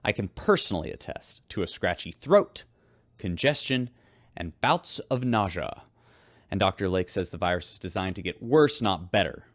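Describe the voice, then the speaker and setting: A weary male radio host reporting from a spaceship in a sci-fi drama. His voice is low and closely recorded with a dry sound. He is wry and measured as he delivers the news. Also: lively intonation, no room sound. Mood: weary, concerned.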